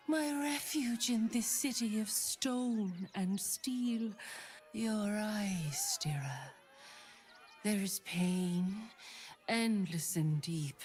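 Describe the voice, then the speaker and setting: A woman's voice: medium pitch, a little mellow. An actress voicing a solemn, poetic female fortune-teller who reads hearts in a grave, whispery way. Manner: slow, grave, whispery delivery.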